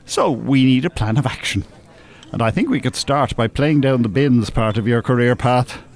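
A man acting a worldly older Irishman in a radio play. He is dry, teasing and opinionated, with a lively rise and fall in his voice and a blunt, cynical streak. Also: medium pitch, moderate pace, close to an everyday voice. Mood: persuasive, blunt. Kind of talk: naturalistic radio drama acting.

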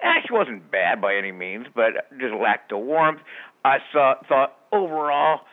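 A man reviewing a movie in casual radio chat, blunt and joking, speaking in halting restarts. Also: medium pitch, sing-song, phone-quality sound. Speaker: movie critic.

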